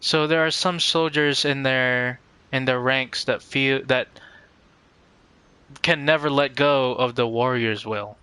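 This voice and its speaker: A man hosting a live game stream, chatting casually and politely with viewers in a halting way, searching for words. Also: medium pitch, pushed and bright, smooth.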